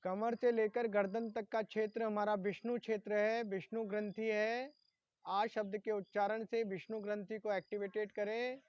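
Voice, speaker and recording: High-pitched, even-toned man guiding meditation. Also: normal speed. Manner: instructional, listing body parts in short phrases. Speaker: yoga and meditation instructor. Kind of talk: guided relaxation and chanting meditation. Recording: dull lo-fi sound in echoey room.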